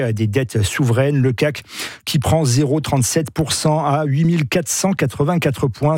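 A man presenting the evening radio news in a formal, measured way, with the odd hesitation, at a studio microphone. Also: a little high, darkish tone, no room sound. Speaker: news anchor. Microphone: dry studio microphone.

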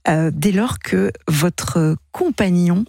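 Radio host, woman, gentle and teasing, husky voice, dry studio sound. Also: lowish voice, slow pace, no echo. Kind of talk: late-night phone-in hosting.

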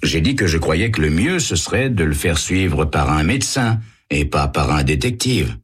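A man playing a composed private detective who speaks in a measured, courteous way. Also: very deep voice, animated, husky, exaggerated voice. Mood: calm. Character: composed male private detective, calm and polite.

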